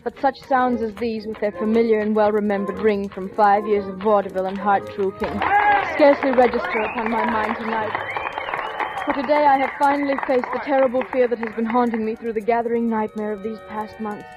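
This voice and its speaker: Woman acting a troubled wife over clear music. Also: a little high, fairly even intonation, a little fast, natural voice. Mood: brooding, anxious. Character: troubled woman, mentalist's wife and assistant, earnest. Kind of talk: 1940s radio drama, dramatic first-person narration. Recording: muffled old radio recording, music behind.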